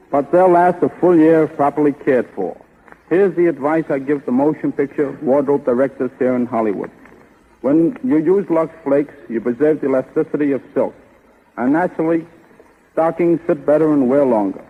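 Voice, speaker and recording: A man's voice: fairly high pitch, husky. A man giving a formal, scripted guest talk on 1930s radio, reading out prepared advice. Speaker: guest stocking designer. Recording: muffled, narrow-band 1930s radio broadcast.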